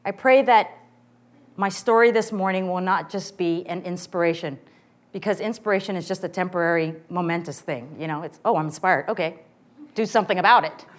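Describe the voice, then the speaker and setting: A woman in her forties with a loud voice, giving a lively, joking inspirational talk to a women's morning gathering. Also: lowish voice, a little fast. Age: 40s. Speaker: guest speaker.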